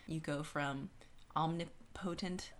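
Low-voiced woman, casual podcast co-host. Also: fairly even intonation, slow pace. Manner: inquisitive, reasoning aloud, checks for agreement. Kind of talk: casual science-minded discussion.

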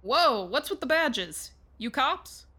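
A woman's voice: sits high, sing-song, slow pace, bright, forceful tone, heavily acted. A woman playing an irritable, cheeky bird guarding a door in a comic audio drama.

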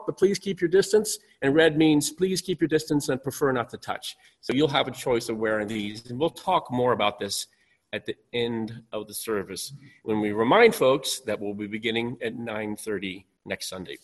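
A man's voice: mid-range voice, moderate pace. A man, a pastor, hosting a church panel from a stage, speaking loosely with many fillers and restarts. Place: church stage, far from listeners. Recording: long hall reverb.

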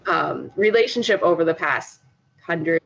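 A woman's voice: low-pitched, animated, measured pace, fairly bright tone. A woman with a Canadian accent speaking as a guest in a casual interview, chatty and explanatory. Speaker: interview guest, startup founder. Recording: dull lo-fi audio with clear background noise.

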